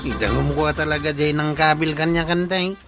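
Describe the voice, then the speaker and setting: A man's voice: a little high, steady tone, moderate pace, loud music behind the voice, natural voice. A man with an Ilocano accent playing a boastful, indignant village watchman chief in a comedy drama.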